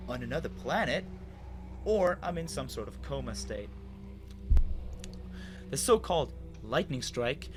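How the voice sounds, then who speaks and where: A man's voice: a little high, noisy background. A man reading a scripted story aloud as a dramatic narrator. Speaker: narrator and author of a fan fiction reading.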